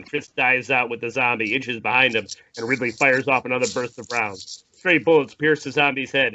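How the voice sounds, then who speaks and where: Bright-voiced man reading dramatic narration. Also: medium pitch, highs cut off. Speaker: narrator at a screenplay table read. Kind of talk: dramatic scripted narration, informal with asides.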